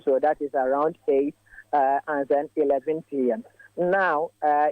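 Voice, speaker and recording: A male field reporter, giving a live news update by phone in a measured way with frequent filler pauses. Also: on the high side, no echo. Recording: tinny dry phone line.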